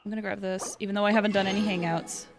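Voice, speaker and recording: A woman's voice: medium pitch, flat delivery, very fast, background noise. A woman live-streaming a video game, chatting casually and playfully with little exclamations and sound words. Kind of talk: casual chat while playing. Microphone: streaming microphone.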